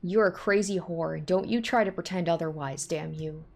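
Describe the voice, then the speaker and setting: A woman's voice: lowish voice, normal speed, vocal fry. A woman voicing a desperate young captive who stammers, pleads and lashes out in a story reading. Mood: angry.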